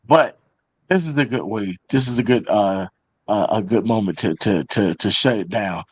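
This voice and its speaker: A man's voice: lowish voice, normal speed, breathy edge. A man in his thirties hosting a casual, opinionated panel talk show, speaking bluntly and emphatically and often repeating phrases for weight.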